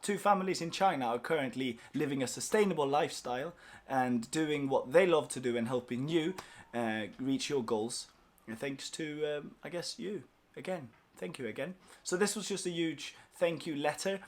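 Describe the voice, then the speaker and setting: A man's voice: on the high side, normal speed. A young man whose first language is Swedish, giving viewers a casual, upbeat update from his small office, chatty and grateful with plenty of fillers. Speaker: language course founder.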